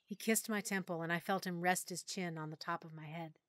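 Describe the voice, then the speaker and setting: A woman narrating a full-cast audiobook in first person, with expressive, clear storytelling. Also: lowish voice, a little fast, no echo. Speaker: narrator and lead character.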